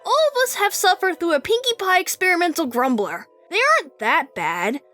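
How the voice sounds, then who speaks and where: A woman's voice: extremely high pitch, lively intonation, brisk, a bit bright. A woman voicing a brash, unsympathetic female pony in a cartoon fanfic, with a chiding, slangy manner. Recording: music behind the voice.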